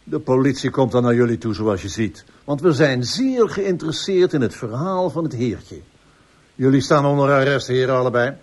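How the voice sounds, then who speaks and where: Actor as authoritative, wry police inspector. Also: male voice, medium pitch, lively intonation, moderate pace, lightly acted. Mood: commanding. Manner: authoritative, dryly ironic. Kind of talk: radio drama acting.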